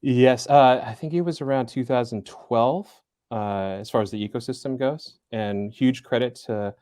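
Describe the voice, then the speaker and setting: A man in his forties, a guest in an interview, speaking thoughtfully and hesitantly with frequent fillers and soft laughs. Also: medium pitch, moderate pace, no room sound, clean studio sound. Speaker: interview guest, business school center director. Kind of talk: casual interview talk.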